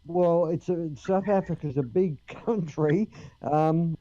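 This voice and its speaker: A man's voice: on the high side, slow, mellow, highs cut off. An Australian man chatting casually with the other people on a livestream call. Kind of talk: casual chat.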